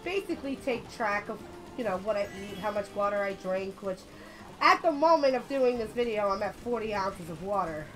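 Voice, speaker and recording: A young woman with a slightly raspy voice from being sick, chatting casually and swearing as she plays a racing game on a headset mic. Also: mid-range voice, animated, normal speed, over music, rough recording. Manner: chatty, swears often, loud outbursts at the game. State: a little sick. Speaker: gaming channel host. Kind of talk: casual let's-play commentary.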